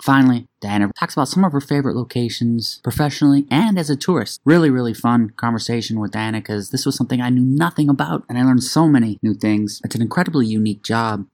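An upbeat male host introduces his guest from a script, then moves into conversation. His voice is mid-pitched and even, recorded close with no room sound. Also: no room sound. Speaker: podcast host.

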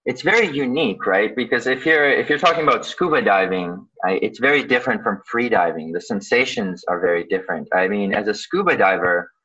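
A man from Canada, born in Ukraine, answering interview questions casually, informally and haltingly as he thinks aloud. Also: on the low side, expressive, pushed and bright, breathy edge. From born in Ukraine, grew up in Canada. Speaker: guest, underwater photographer and dive professional. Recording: slightly dull, lo-fi sound.

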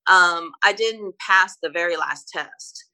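A Brazilian woman, a native Portuguese speaker, recalls her work as a private flight attendant in a relaxed interview. Her voice sits slightly low but sounds bright and forward. She talks in loose, stop-start runs full of fillers and restarts. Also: slow pace, rough recording. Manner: hesitant, many fillers and restarts. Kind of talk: casual interview storytelling.

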